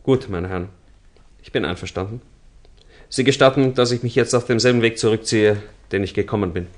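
An actor playing a politely cool, unruffled intruder in a German radio crime drama, with composed, ironic courtesy. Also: male voice, lowish voice, fairly quick, close to an everyday voice.

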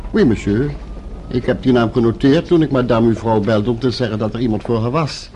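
An actor playing a courteous, formal French hotel desk clerk in a Dutch radio play, sprinkling in French titles. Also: male voice, medium pitch, fairly quick, dark tone, slight background noise, natural voice. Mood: polite.

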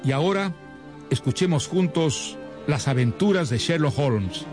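A man reading a scripted introduction to a literary radio series in a measured, expository manner. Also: a little high, lively intonation, over music. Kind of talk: scripted narration.

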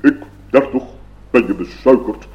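A man's voice: medium pitch, measured pace, highs cut off, close to an everyday voice. A man playing a very elderly, solemn, grumbling owl with a pompous, old-fashioned way of talking in a radio play.

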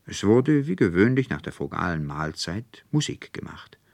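A man narrating a literary fairy tale in a measured, expressive storytelling manner. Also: deep voice, vocal fry, dry recording. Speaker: audiobook narrator.

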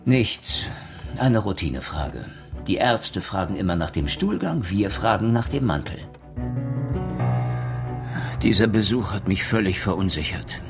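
An actor playing a shrewd, authoritative police inspector in a radio crime drama, probing and deliberate as he questions and narrates. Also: low-pitched, big pitch swings, music in the background, full character voice. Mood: reflective, narrating. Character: shrewd, insistent male police inspector narrating his case.

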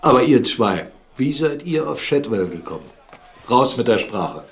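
A middle-aged man acting a gruff, commanding, sardonic captain and jealous fiancé in a German radio drama, mocking and blustering. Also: mid-range voice, sing-song, normal speed. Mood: jealous, threatening. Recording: studio radio drama with music underneath.

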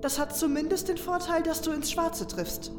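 A woman's voice: very high voice, steady tone, normal speed. A woman playing a wry, teasing adventurer in a fantasy audio drama, recorded with echo under music. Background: prominent music.

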